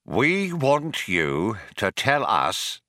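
A man's voice: lowish voice, huge pitch swings, extremely slow, bright, forceful tone, dry recording, clean studio sound, exaggerated voice. A man voicing an eager, easily flustered computer programmer in a story, urgent and breathless. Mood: eager, awed.

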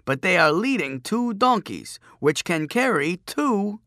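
A man voicing a pedantic chief priest in an audiobook, droning out riddles in a drawn-out, pompous recitation. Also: high voice, very animated, pushed and bright, no room sound, clean studio sound, pitched above the performer's own voice, heavily acted. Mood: pompous testing.

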